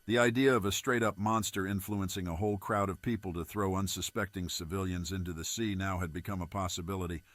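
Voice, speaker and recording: A man playing an unsettled reporter in an amateur disaster drama, speaking in a measured, earnest, confiding way. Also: low voice, steady tone, a bit bright, no echo. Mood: disbelieving. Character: unsettled male news reporter revealing a leaked monster report.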